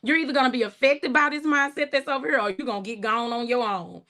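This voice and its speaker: A woman hosting her first livestream, chatting casually and warmly, thanking viewers by name and laughing often. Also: high voice, fast talker. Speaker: livestream host. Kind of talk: casual livestream chat reading comments.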